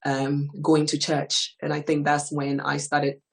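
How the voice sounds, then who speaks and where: A woman from Ghana in her thirties, telling her life story as a guest in a hesitant, soft-spoken way, with frequent fillers. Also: low-pitched, completely flat delivery, unhurried. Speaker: guest giving testimony. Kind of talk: personal testimony in an interview.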